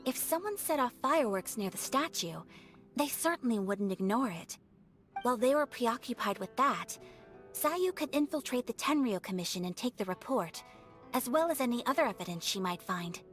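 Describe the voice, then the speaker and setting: A woman playing a refined, thoughtful young noblewoman in an anime game, speaking in a measured, composed and formal way. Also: fairly high pitch, lively intonation, a bit bright. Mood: calculating. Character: poised, refined young noblewoman, thoughtful strategist, gently serious. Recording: background music under the voice. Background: music.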